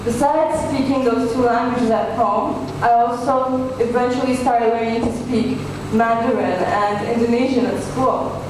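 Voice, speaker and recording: A woman's voice: on the high side, steady tone, measured pace. A young woman who is a student speaker, giving a rehearsed presentation to an audience. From household speaking English and Hindi. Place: large echoey indoor event hall. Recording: very echoey hall, distant, noisy.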